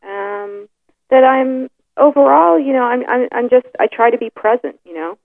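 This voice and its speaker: A woman's voice: fairly high pitch, normal speed, creaky, no room sound. A woman guest in a casual interview, talking with lots of fillers and restarts over the phone. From New York. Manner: hesitant, many fillers and restarts. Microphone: telephone. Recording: tinny phone line.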